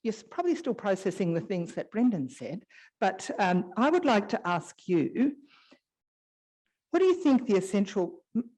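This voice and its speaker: A woman's voice: on the low side, warm tone. A woman moderating a conference panel, introducing speakers with chatty, easygoing asides. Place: conference hall. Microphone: shared stage microphone.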